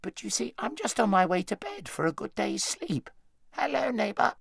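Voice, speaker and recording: A man's voice: high-pitched, very animated, moderate pace, gravelly, fairly bright tone, husky, no room sound, clean studio sound, pitched above the performer's own voice, heavily acted. A man voicing a sleepy, chatty little hedgehog that talks in a homely, rambling way. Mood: drowsy, chatty.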